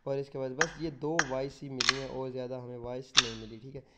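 A man's voice: mid-range voice, little pitch movement, fairly quick, heavy background noise. A man chatting casually through a game walkthrough, repeating simple steps. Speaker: gaming YouTuber.

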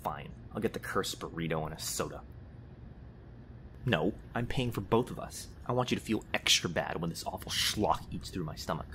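A man's voice: sits low, animated, moderate pace, fairly bright tone, a little hiss or hum. A man playing a grumpy, easily flustered vampire in a comedy audio roleplay, sarcastic and huffy in manner. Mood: disgusted, grudging. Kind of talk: comedy ASMR roleplay acting.

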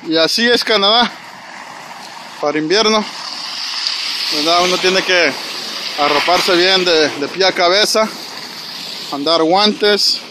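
A man's voice: high voice, slow, long pauses. Chatty man vlogging on a snowy street. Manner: chatty, addresses viewers directly, frequent "¿verdad?". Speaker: vlogger walking and filming. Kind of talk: casual walking vlog narration. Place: snowy city street outdoors. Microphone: handheld phone camera. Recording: outdoor street noise, passing traffic.

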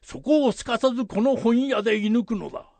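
A man playing a stern, resolute old samurai lord in a radio drama, with archaic, authoritative, deliberate speech and a gravelly, husky voice. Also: extremely high pitch, expressive, darkish tone, no room sound, heavily acted. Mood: grave, urgent. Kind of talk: period radio drama acting.

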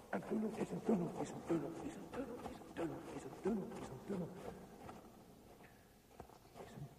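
Scared guy alone in a tunnel, halting radio drama monologue, mellow voice, very echoey. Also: medium pitch. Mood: anxious, pleading. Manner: halting, fragmented, intense interior monologue. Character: frightened man lost in a dark railway tunnel, brooding. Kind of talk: radio play monologue acting. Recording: echoey reverberant tunnel sound, faint hiss.

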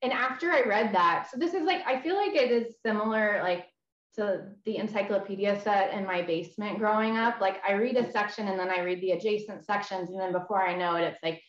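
A woman interviewing an author over a video call, enthusiastic and agreeable, often answering with a quick "yeah". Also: medium pitch. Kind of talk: casual video-call conversation. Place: home room with red walls and shelves. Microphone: video call webcam. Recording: roomy, dull webcam sound.

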